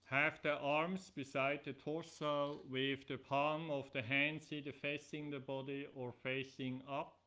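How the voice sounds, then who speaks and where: Bright-voiced man guiding relaxation. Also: a little high, flat delivery. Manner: measured, deliberate phrasing with pauses. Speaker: yoga nidra teacher. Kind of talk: guided relaxation instruction.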